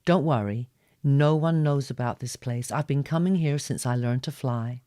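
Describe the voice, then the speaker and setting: A woman's voice: extremely low pitch, moderate pace, mellow, dry recording, clean studio sound. A woman voicing an awkward, gallant teenage gargoyle boy in a storybook reading, earnest and eager. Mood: reassuring.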